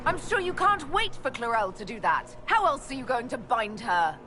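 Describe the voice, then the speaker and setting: A woman's voice: a little high, sing-song, moderate pace. An actress playing a resolute female leader in a fantasy game, firm, earnest and articulate. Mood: scornful. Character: resolute heroic female Inquisitor challenging her opponents. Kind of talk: dramatic fantasy game acting. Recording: loud dramatic music underneath.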